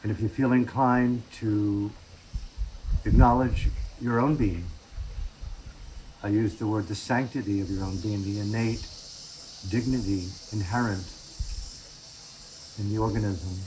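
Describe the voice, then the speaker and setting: A man with a low-pitched voice speaks as a meditation teacher and podcast host. His delivery is that of someone addressing listeners directly. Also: fairly even intonation, measured pace, long pauses, darkish tone, reverberant, a little hiss or hum, rough recording.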